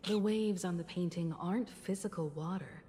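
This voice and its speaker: A woman voicing a composed, knowledgeable woman in a video game, explaining things in a measured manner. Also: fairly low pitch, warm tone, long reverb tail, natural voice. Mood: calm, resolute.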